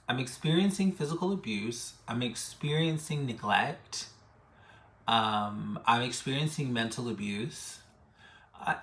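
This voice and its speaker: A man tells a personal story to camera in a reflective, halting way, repeating phrases for emphasis. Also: mid-range voice, fairly bright tone. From Bronx, New York City, United States. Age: 30s. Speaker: death midwife and ritualist, vlogger.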